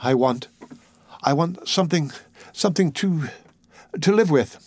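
A man's voice: a little high, expressive, moderate pace, a little mellow, dry recording, voice pushed up. A man voicing a devoted, grief-stricken young woman in mourning, pleading insistently, as a character in an audiobook. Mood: anguished longing. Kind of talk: dramatic audiobook character reading.

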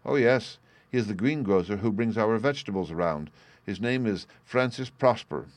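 Man voicing an earnest young Victorian gentlewoman, pleading. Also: lowish voice, normal speed, no echo, pitched above the performer's own voice, natural voice. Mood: polite, eager.

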